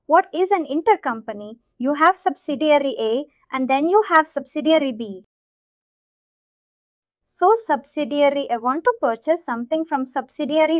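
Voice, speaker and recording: High-voiced woman teaching, dull lo-fi audio. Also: no echo. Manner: repetitive, checks understanding often. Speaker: software trainer. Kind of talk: tutorial explanation to learners.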